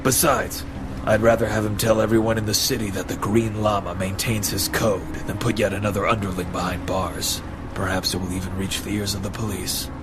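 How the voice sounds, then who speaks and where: An actor playing a stern, formal masked crime-fighter in an audio drama, speaking in a measured, commanding way. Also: male voice, lowish voice, level tone, heavy background noise, natural voice. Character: grave, stern masked crime-fighting hero mentoring a youngster.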